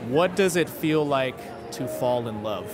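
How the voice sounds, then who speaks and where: A man's voice: mid-range voice, expressive, measured pace. A man in his thirties interviewing passers-by outdoors, asking questions into a handheld mic. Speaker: street interviewer. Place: outdoors on busy city streets and waterfront. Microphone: handheld mic with windscreen. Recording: music bed over street sound.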